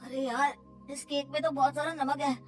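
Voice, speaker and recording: Teasing male office friend done by a woman, mocking, grumbling, fairly high, cartoon story, faint music. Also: little pitch movement, moderate pace, natural voice. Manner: mocking, complaining. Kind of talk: cartoon moral story dialogue.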